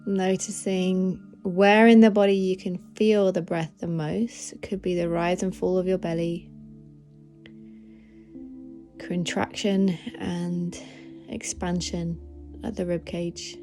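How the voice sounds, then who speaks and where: A woman leading a guided meditation in a gentle, soothing, unhurried manner of instruction. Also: on the low side, little pitch movement, soft background music. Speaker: meditation guide, podcast host.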